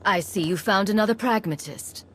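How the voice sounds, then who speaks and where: A woman's voice: medium pitch, normal speed, a little hiss or hum, lightly acted. A woman playing a composed, dry-witted agent commander in a video game, cool and clipped in manner. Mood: cool, determined. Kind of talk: cinematic video game acting.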